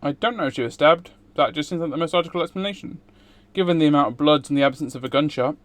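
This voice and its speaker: Man reading a visual novel aloud, lightly high-pitched. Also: fast talker, dry recording. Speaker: streamer.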